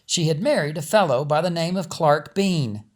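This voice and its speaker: A man's voice: on the high side, expressive, normal speed. A man, an author reading his own storybook and chatting casually around it. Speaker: author and narrator. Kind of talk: storybook narration and casual chat.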